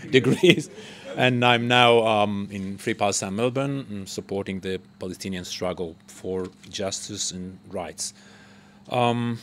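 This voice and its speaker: A man from Israel with an Israeli accent, speaking informally at a public forum in nonnative, halting English. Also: fairly low pitch, a bit bright, a little hiss or hum. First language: Hebrew. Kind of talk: unscripted public talk. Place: lecture room at a public forum.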